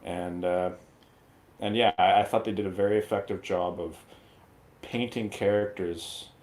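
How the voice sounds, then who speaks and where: The sound is roomy and echoey around a fairly low male voice. He talks like a panelist on a movie review show, casual and opinionated. His delivery rambles conversationally and is freely peppered with swearing. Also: fairly even intonation, unhurried, a little mellow.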